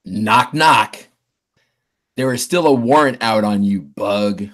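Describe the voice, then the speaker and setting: A man playing an ominous, gruff figure with a deliberate, threatening delivery in an audio drama. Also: lowish voice, fairly bright tone. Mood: menacing. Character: ominous alien visitor and gruff bounty hunter.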